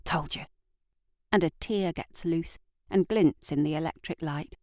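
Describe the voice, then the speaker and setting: A woman reading scripted literary narration for an audio drama in a deliberate, dramatic storytelling manner. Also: low-pitched, mellow, no echo, studio quality.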